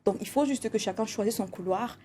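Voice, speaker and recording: A woman's voice: medium pitch, fairly quick, warm tone, husky. A woman from Cameroon, a guest singer on a television panel, speaking passionately and insistently. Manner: passionate, insistent, laughs often. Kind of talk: lively panel debate.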